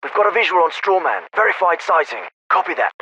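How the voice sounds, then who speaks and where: Casting: stern, sardonic special forces captain for a military game; higher-set, bright forceful voice, clipped orders, some thin radio-filtered audio. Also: male voice, expressive, moderate pace, muffled recording. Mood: stern, commanding. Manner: clipped, authoritative, dry sarcasm. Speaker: military squad commander. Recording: radio-filtered, band-limited clips with faint static.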